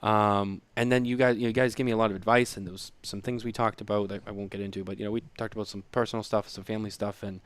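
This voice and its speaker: Thirty-something guy on a podcast, smooth lowish voice, rambles with lots of likes and you knows. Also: flat delivery, fast talker, few pauses, no room sound. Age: 30s. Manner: chatty, rambling, heavy on fillers and repeats. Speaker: podcast co-host. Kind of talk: casual chat.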